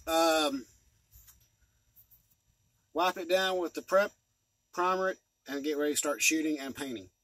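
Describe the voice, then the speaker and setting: A man's voice: fairly high pitch, measured pace, pauses often, a bit bright, no echo. A man in his forties from the United States talking in a rambling, folksy way, giving a casual hobby vlog update from inside a truck cab. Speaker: model train hobbyist and video host. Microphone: phone or camera at arm's length.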